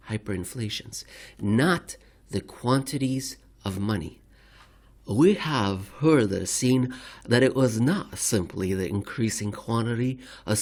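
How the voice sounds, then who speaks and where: A man giving a measured, deliberate ASMR reading of a formal written commentary. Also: medium pitch. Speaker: reader, narrator.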